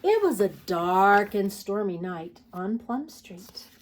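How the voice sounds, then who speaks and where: A woman reading picture books aloud to preschoolers as a storytime host, expressive and putting questions to young listeners. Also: mid-range voice, slow pace, slight background noise. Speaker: children's storytime host and reader. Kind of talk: read-aloud picture book narration for preschoolers.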